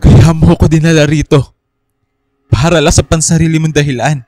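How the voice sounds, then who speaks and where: Guy playing a haunted young man in a radio horror drama, highish voice, dramatic and pleading, music under. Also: steady tone, fairly quick, a little mellow, no room sound, studio quality, natural voice. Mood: hurt, uncertain. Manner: emotional, pleading, dramatic delivery. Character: anguished young man haunted by a cursed third eye.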